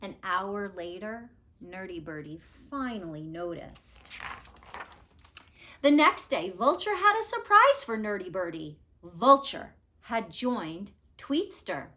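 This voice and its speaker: Woman doing storytime read-aloud, slow and really sing-song up and down, dramatic emphasis. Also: mid-range voice, slight background noise. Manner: expressive, measured, dramatic emphasis. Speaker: storybook reader. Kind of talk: animated children's read-aloud narration.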